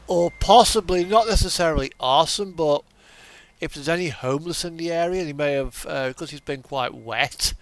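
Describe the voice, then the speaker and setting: A man's voice: fairly high pitch, normal speed, low-quality recording. A man giving casual, rambling commentary as he plays a game, often muttering and thinking aloud to himself. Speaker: gaming video host.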